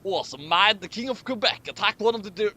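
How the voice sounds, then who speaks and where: Male comedy reader, bright forceful voice, swinging intonation, mocking asides, stumbling and repeating lines. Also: sits high, lively intonation, fast. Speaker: host of a comic fan fiction reading. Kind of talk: dramatic reading with mocking commentary.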